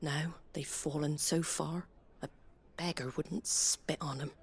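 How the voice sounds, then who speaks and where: Stern middle-aged tavern woman, British, husky voice, grave and blunt, fantasy game role. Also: low-pitched, fairly even intonation, takes it slowly, full character voice. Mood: grave, bitter. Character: stern, plain-spoken medieval tavern-keeper woman. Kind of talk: video game cutscene acting.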